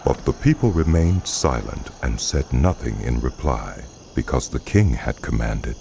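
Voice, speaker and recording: Narrator, male: extremely low and gravelly, solemn scripture storytelling with lively inflection, noisy outdoor ambience. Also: unhurried.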